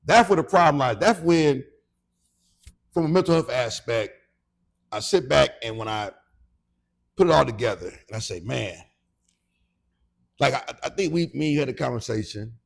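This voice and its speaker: Late 40s american guy, really gravelly husky voice, reflective casual podcast talk. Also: mid-range voice, expressive, moderate pace, pauses often. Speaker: podcast co-host.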